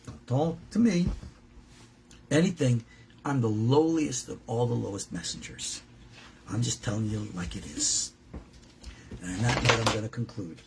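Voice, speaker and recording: Male rabbi, informal teacher-preacher. Gravelly fry, emphatic and direct, slips into imagined dialogue. Slightly noisy recording. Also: mid-range voice, normal speed, long pauses. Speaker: rabbi and teacher at a Torah school. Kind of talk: informal religious lesson, preaching to students.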